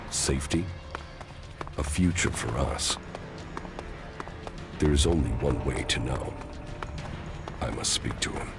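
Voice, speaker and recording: A man playing a grim, stoic military commander in a post-apocalyptic sci-fi game, speaking in a gravelly, brooding, measured and solemn way. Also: a bass voice, heavily acted. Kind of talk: dramatic video game acting and narration. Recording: music under the voice.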